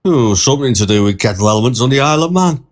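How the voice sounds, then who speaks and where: A man's voice: medium pitch, expressive, fast talker, natural voice. A man voicing a weary night-shift train cleaner chatting casually in a narrated short story. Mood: offhand.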